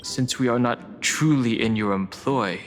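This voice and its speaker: A man's voice: medium pitch, a little hiss or hum, natural voice. A man playing a reserved, earnest young fighter in a video game, with formal and direct speech. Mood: earnest, questioning. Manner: deliberate, formal. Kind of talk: video game story dialogue.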